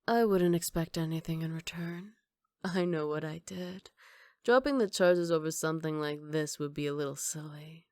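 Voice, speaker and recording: A woman's voice: low-pitched, moderate pace, a little mellow, dry recording. Woman playing a flirty, cocky villainess, close and dry. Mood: casual, teasing. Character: flirty, cocky female villain teasing a rookie officer. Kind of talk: ASMR roleplay.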